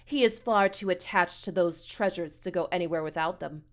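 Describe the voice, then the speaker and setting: A woman's voice: lowish voice, normal speed, natural voice. Woman voicing a pompous, scolding foster mother. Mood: spiteful. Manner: pompous, stilted formal diction. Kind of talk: audiobook character dialogue.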